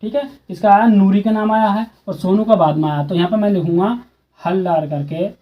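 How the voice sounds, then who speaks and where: A man's voice: sits high, steady tone, brisk, highs cut off. A man teaching a school maths exercise, speaking casually and repeating steps while asking students questions. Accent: Hindi. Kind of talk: explaining a textbook math exercise.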